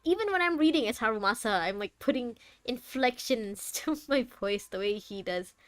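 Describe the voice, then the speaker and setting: A woman's voice: on the high side, animated, moderate pace, no echo. A woman livestreaming a game, chatting casually as she reacts to the story and to her viewers. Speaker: game streamer. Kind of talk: casual livestream commentary over gameplay.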